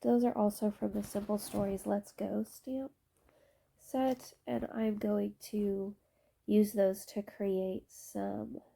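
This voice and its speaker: A woman with a stuffy, congested voice narrating her scrapbooking process video, explaining her supplies in a relaxed way with occasional ums. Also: mid-range voice, creaky, breathy edge. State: stuffy, congested from allergy shots.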